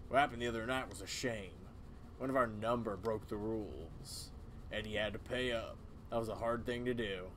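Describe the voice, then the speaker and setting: A man's voice: fairly low pitch, normal speed, a bit bright, a little hiss or hum, lightly acted. A man voicing a grim, self-righteous old small-town cult elder with a folksy way of talking. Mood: cold justifying.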